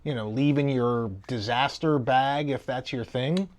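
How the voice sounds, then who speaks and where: A man's voice: mid-range voice, fairly even intonation, unhurried. A man giving a casual hands-on review as host of a video.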